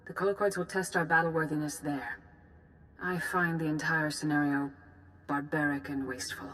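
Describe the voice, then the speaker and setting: Low-voiced, solemn Jedi master. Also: female voice, steady tone, fairly bright tone. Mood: grave, urgent. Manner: formal, measured, solemn. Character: grave, composed female Jedi master giving a war briefing. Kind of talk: scripted video game dialogue.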